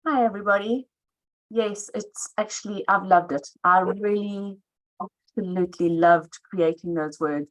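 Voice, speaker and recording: A woman artist speaking at a book launch, earnest and warm, often restarting her sentences. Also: medium pitch, unhurried, poor audio. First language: not Afrikaans. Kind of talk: speaking at a live event.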